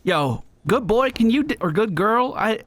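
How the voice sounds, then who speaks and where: Excitable high-pitched man streaming a game. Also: slight background noise. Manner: excitable, exclamatory, repeats words rapidly. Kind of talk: live gaming commentary with outbursts and swearing.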